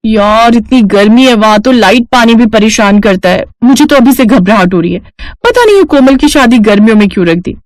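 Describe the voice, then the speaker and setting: A woman's voice: a little high, expressive, brisk, no room sound, close to an everyday voice. A woman playing a reluctant, fretful young city daughter-in-law who complains, in a cartoon family story.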